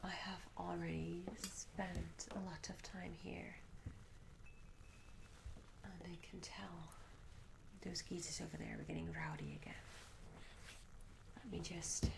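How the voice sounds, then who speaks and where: Woman as shy young tavern maid, soft breathy whisper, crackly fry, polite archaic phrasing, hesitant, fantasy ASMR roleplay, noisy ambience behind. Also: fairly low pitch, flat delivery, slow, long pauses, exaggerated voice. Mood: gentle, hospitable. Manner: gentle, hesitant, old-fashioned formal wording. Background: tavern ambience sounds.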